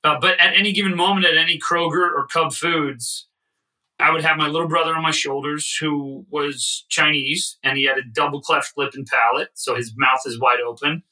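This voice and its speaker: Podcast co-host, male, Midwestern, very bright tone, a bit off-mic, animated storyteller. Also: a little high, normal speed, recorded from a distance, low-quality recording. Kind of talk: casual podcast chat.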